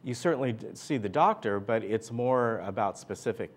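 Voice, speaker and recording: A man's voice: lowish voice, animated, normal speed. A man hosting an interview show, asking rambling, chatty questions. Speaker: talk show host. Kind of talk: interview host.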